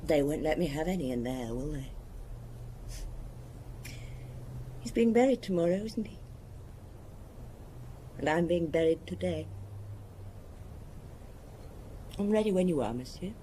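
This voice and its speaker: An actress playing a bitter, hard-drinking wealthy murderess of about forty in a radio drama, speaking with weary resignation. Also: female voice, low-pitched, animated, fairly quick, warm tone, slight background noise. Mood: weary, defiant.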